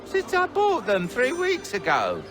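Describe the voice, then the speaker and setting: A man's voice: very high-pitched, wildly swooping pitch, measured pace, over music, exaggerated voice. A man playing a brusque, unbothered shopkeeper in a video game cutscene, casual and curt. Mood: dismissive.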